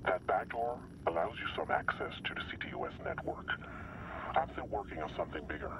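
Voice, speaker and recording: An actor playing a secretive, controlled male hacker ally in a game, talking in a measured, businesslike way over a phone. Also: low voice, animated, background noise. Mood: cool. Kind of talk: game phone-call dialogue.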